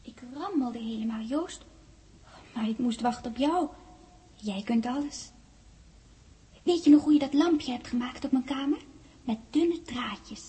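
A little ghost girl of ten in a 1960s radio drama. The voice sits high and soft-toned, with a mellow warmth. She coaxes and teases in a childlike way, ready to reproach. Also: unhurried, long pauses. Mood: playful. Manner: childlike, teasing, coaxing. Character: ghostly ten-year-old girl, coaxing, playful, reproachful.

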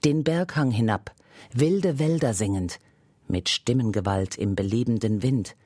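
A man reciting poetry in a measured, deliberate way, as a literary reader. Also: medium pitch, a little mellow, no echo, studio quality.

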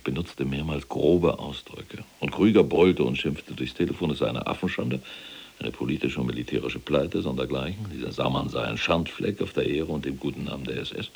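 An actor playing a proud, callous, imprisoned SS general recounting the war in a gravelly, husky, self-important military manner in a radio drama. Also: male voice, very deep voice, darkish tone, full character voice. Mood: agitated, indignant. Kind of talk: dramatized first-person war recollection.

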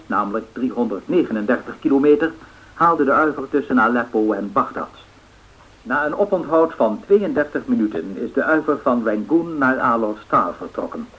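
A man acting a formal, factual 1930s radio newsreader, measured, through an old radio filter. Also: medium pitch, animated, fairly bright tone. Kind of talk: 1930s news bulletin in radio drama. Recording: tinny narrow-band radio sound.

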